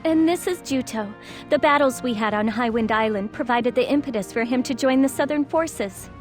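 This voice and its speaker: A woman's voice: on the high side, normal speed, faint music behind, lightly acted. A woman playing a young princess commander in a video game, gracious, loyal and willful, polite and earnest. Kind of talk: video game cutscene voice acting.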